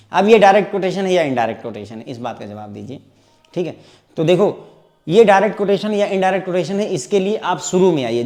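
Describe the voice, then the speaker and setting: A man's voice: fairly high pitch, a little mellow. A man with an Indian accent teaching an exam prep lesson, asking the class questions and answering them himself. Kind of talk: explanatory lecture.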